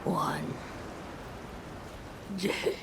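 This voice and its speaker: A woman playing an older, menacing and contemptuous spectral war leader, with a breathy voice and ominous, incantatory delivery in a dramatic video game. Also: drawn-out words, noisy background. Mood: ominous curse.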